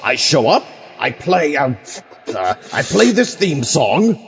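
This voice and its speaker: A man's voice: fairly high pitch, sing-song, slow pace, full character voice. A man playing a smug, chatty, prissy ghost in a comedy audio drama, on a dull recording. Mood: smug, scheming. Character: smug chatty male ghost starting a business. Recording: dull lo-fi, music underneath.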